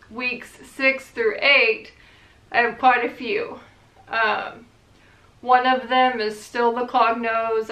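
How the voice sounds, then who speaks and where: A young woman chatting casually to her channel's viewers, relaxed and rambling with plenty of fillers. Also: on the high side, reverberant, poor audio. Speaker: vlogger. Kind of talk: casual chat to camera.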